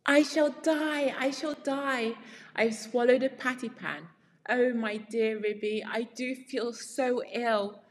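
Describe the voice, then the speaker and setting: A woman's voice: mid-range voice, very animated, moderate pace, a little hiss or hum, pitched above the performer's own voice, lightly acted. A woman voicing an anxious, polite female dog at tea in a storybook, fretful and genteel. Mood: alarmed, moaning. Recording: very reverberant room.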